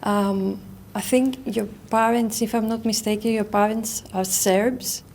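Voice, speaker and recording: Halting woman interviewer. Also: mid-range voice, fairly even intonation, a little mellow. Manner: halting, repeats words while forming questions. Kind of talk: interview questions in English.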